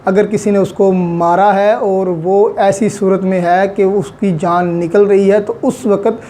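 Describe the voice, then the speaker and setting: A man's voice: high voice, fairly even intonation, measured pace, mellow, a little hiss or hum. A man giving an online law lecture, patiently explaining step by step with examples and English legal terms. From Pakistan.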